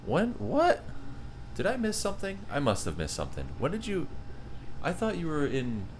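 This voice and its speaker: A man's voice: a little high, wildly swooping pitch, moderate pace, a little hiss or hum. A man in his thirties chatting casually with viewers on a live game stream and reading their messages aloud. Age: 30s. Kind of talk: casual live-stream chat while gaming.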